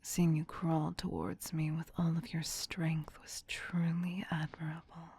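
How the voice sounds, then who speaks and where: A woman voicing a tender, possessive vampire queen in a soft, breathy, soothing ASMR whisper. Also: fairly low pitch, exaggerated voice. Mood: tender, adoring. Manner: slow, doting, soothing.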